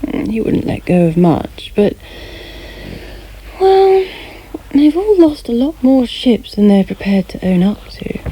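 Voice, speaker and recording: An actress playing a teasing, flirtatious wife and reporter in a naturalistic radio drama, with a wry, intimate manner. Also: female voice, a little high, sing-song, takes it slowly, warm tone, husky, heavily acted, rough recording. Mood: intimate, confiding.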